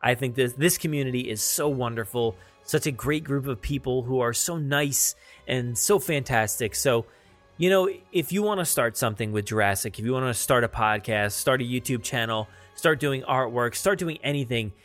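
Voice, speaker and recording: A man hosts a podcast on his own with music behind him. He talks casually in a mid-pitched voice, rambling and halting as he goes. His manner is self-effacing, appreciative and enthusiastic. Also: a bit bright. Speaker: podcast host. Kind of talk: casual solo podcast talk.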